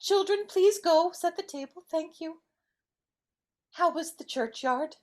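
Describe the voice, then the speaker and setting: A woman voicing a gentle, grieving Muppet pig mother in a live dramatic reading. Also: very high-pitched, measured pace. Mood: tender, subdued.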